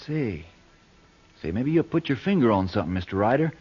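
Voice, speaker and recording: Earnest young ranch hand, husky. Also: male voice, mid-range voice, sing-song, brisk. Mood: earnest, troubled. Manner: folksy cowboy idiom. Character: young, earnest, plain-spoken ranch cowboy. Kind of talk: 1940s radio western acting. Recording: muffled old radio broadcast recording.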